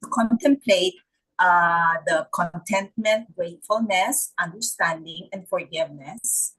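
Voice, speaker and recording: A woman's voice: lowish voice, measured pace, fairly bright tone, rough recording. A woman from the Philippines sharing a reflection on a video call, hesitant, with many "uh"s and a tag "no?". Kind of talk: casual spoken reflection.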